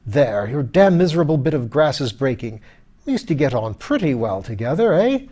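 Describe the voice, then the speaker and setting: A man voicing a pompous, irritable, hard-drinking skeleton lord in an audiobook, rough and blustering in old-fashioned aristocratic speech. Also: mid-range voice, sing-song, normal speed, warm tone. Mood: querulous. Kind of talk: audiobook character voice.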